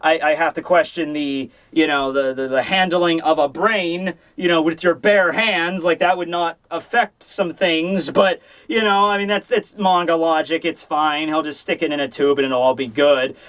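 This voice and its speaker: High-voiced man giving an animated review. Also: normal speed, few pauses. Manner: animated, rambling, casually profane. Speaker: host of a manga review show. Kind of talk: casual opinionated review commentary.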